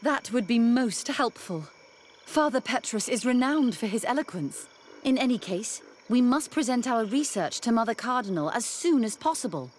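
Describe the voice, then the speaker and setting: An actress playing a devout, scholarly nun who speaks formally and earnestly in a video game drama. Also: female voice, fairly high pitch, expressive, normal speed, a little hiss or hum, natural voice. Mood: resolved.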